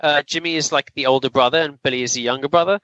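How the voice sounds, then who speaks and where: A man's voice: a little high, racing, sharp tone, muffled recording. A man co-hosting a podcast, chatting casually and explaining film trivia in detail. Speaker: podcast co-host. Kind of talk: casual film review chat.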